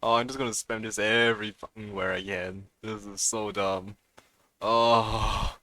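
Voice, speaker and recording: A man's voice: fairly low pitch, steady tone, unhurried, sharp tone. A man live-streaming a video game with casual, unscripted commentary, muttering to himself and swearing freely.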